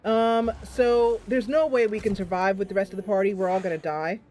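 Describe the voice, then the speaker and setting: A woman's voice: mid-range voice, steady tone, a little fast, mellow, faint music behind. A woman streaming a game, casually commentating live and thinking aloud as she chats with her viewers.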